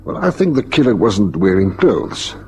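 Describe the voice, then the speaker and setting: An actor playing a polite, probing police lieutenant in a vintage radio drama, on a faintly noisy recording. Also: male voice, lowish voice, very animated, moderate pace, mellow. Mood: methodical, deferential. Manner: hesitant, courteous questioning.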